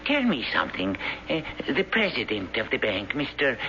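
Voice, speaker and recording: Hunted eccentric, bright, urgent voice with wide swings, slyly persuasive, old-time radio drama. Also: male voice, medium pitch, wildly swooping pitch, exaggerated voice. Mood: grateful, probing. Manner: theatrical, halting, insistent. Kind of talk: 1970s radio drama acting. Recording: dull, noisy old radio broadcast.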